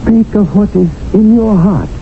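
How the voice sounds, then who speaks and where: A man's voice: high voice, measured pace, a little hiss or hum. An actor playing a wry, scheming male household servant who narrates in an ornate, theatrical 1970s radio drama style. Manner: sly, ornate, theatrical delivery. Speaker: narrator and manservant. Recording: tinny old radio broadcast with music.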